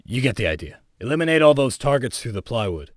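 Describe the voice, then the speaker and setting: An actor playing a brusque, foul-mouthed military firearms instructor in a video game, giving blunt, commanding orders. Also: male voice, mid-range voice, big pitch swings, fast, dry recording, clean studio sound. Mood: businesslike, instructive. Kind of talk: scripted game voice lines, instructional and barked commands.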